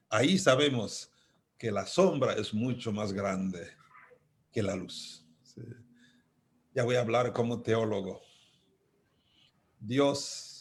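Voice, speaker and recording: Male guest speaker, Congolese accent, lowish pitch, very unhurried, deliberate and emphatic philosophical delivery. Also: vocal fry. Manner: deliberate, emphatic, repeats key phrases with pauses. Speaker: invited speaker, philosopher and theologian. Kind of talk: reflective philosophical talk.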